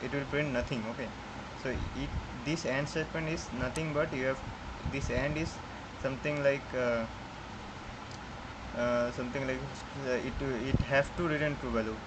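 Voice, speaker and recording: A man teaching a programming lesson from India, talking haltingly with many fillers and restarts as he codes. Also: mid-range voice, steady tone. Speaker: programming tutor. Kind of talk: screen-recorded coding lesson. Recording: loud background noise with air conditioning.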